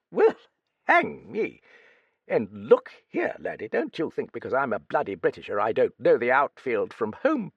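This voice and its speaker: A man's voice: on the high side, creaky, dry recording, dull audio, voice pushed up, heavily acted. A man reading an audiobook, voicing a blunt, hearty old woman who swears freely and talks with cheeky bluster. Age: older.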